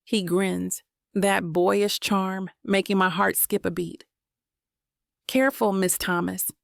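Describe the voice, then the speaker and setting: A woman's voice: medium pitch, steady tone, slow, creaky, dry recording, clean studio sound. A woman reading a first-person romance audiobook as scripted narration.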